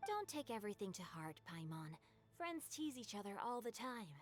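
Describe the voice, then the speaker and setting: A woman's voice: medium pitch, big pitch swings, normal speed, close to an everyday voice. A woman playing a composed, scholarly youth in a game cutscene, with an articulate, bookish manner. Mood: reassuring. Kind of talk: animated game cutscene dialogue. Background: background music.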